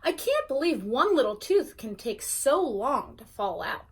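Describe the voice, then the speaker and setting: A woman's voice: high voice, big pitch swings, measured pace, heavily acted. A woman voicing a fretful, earnest seven-year-old schoolboy in a children's storybook. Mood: complaining.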